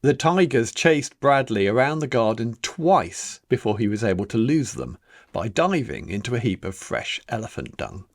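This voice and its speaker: A man reading a children's picture book aloud as its author, in a dramatic, deliberate storytelling manner with emphatic pauses. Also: medium pitch, sing-song, no echo. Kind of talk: expressive children's story narration.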